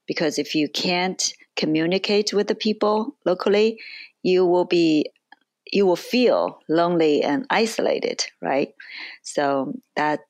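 A woman's voice: fairly low pitch, measured pace, dry recording. A woman in her forties with a Chinese accent, answering interview questions in a thoughtful way, with frequent fillers and restarts. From China.

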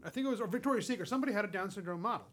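A man's voice: high voice, racing, a bit bright, breathy edge. A man hosting a crude comedy podcast, chatting casually in a rambling, repetitive way and swearing freely. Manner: rambling, repeats himself, swears. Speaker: podcast host.

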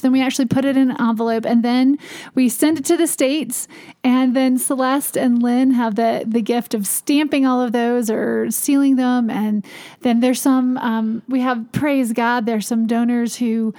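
A woman co-hosting a podcast, chatting casually and warmly in a talkative, easygoing way. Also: on the high side, fairly even intonation, normal speed. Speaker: podcast co-host. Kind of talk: warm casual podcast chat.

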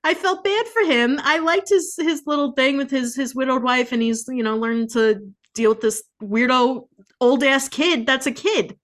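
High-voiced, opinionated woman in casual banter. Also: moderate pace, fairly bright tone. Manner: emphatic, repeats points for effect. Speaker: podcast co-host.

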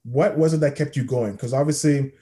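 A man co-hosting a podcast, setting up questions in a quick, conversational way. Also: medium pitch, warm tone. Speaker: podcast co-host. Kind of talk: casual interview questions.

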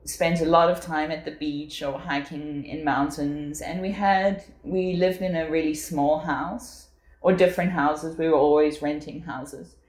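South African woman, 40s, low voice, reflective guest, echoey room. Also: fairly even intonation, a little slow, a little mellow. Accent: South African English. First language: English. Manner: reflective, with frequent fillers and laughs. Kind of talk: casual conversational interview.

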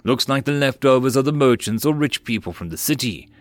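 A man voicing a level-headed, wary male marine commander who speaks in a measured way. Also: medium pitch, animated, dry recording, close to an everyday voice. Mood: matter-of-fact.

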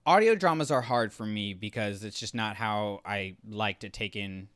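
Male podcast co-host, casual and enthusiastic, lowish clear voice, close dry sound. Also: normal speed, fairly bright tone, dry recording. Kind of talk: casual podcast review discussion.

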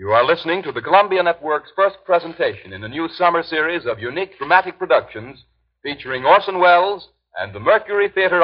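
A man's voice: a little high, highs cut off. A man announcing for a radio network in a formal 1930s broadcast style.

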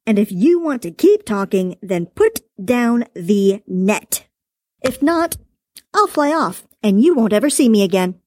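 A woman voicing a small male dragon with a light, airy yet commanding voice, speaking calmly and sternly in an audiobook. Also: medium pitch, no echo, studio quality. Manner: stern, measured, faintly teasing. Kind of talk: audiobook character voice.

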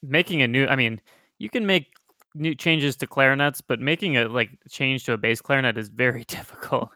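A man's voice: medium pitch, brisk, sharp tone, no echo, studio quality. A young adult man hosting a podcast, asking questions in an easygoing, chatty way. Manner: easygoing, chatty, laughs often. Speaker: podcast host. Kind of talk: casual interview.

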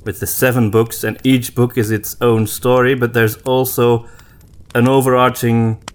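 A man's voice: medium pitch, level tone, moderate pace. A man with a mid-range voice speaks as a podcast co-host in casual conversation. He is reflective and a little hesitant, often rewording his thought as he goes. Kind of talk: casual book discussion.

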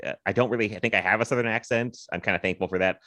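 A man's voice: on the low side, steady tone, very fast, sharp tone, no echo, studio quality. An American man hosting a podcast, chatting casually in a jokey, rambling way. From Virginia, US. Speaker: podcast host. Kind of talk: casual chat.

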